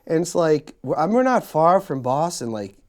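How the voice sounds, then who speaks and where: Lively-pitched chatty male host. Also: normal speed. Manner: chatty, rambling with many fillers and restarts. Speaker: podcast host. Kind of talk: casual interview chat.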